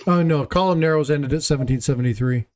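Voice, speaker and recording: A man's voice: a little high, fast, a little mellow. A man hosting a casual livestream chat, rambling and self-deprecating as he talks. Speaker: livestream host.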